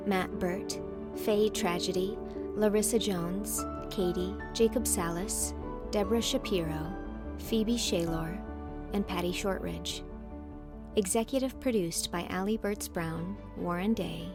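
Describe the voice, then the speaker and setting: A woman narrates an audiobook in a scripted, literary style. Her mid-range voice has a noticeable creak, with faint music behind it. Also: mid-range voice.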